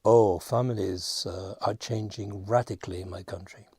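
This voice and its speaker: A man's voice: lowish voice, steady tone, normal speed, a little mellow, breathy edge, dry recording. A man with a Spanish accent giving his opinion emphatically, with some hesitation. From Spain. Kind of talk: casual spoken opinion.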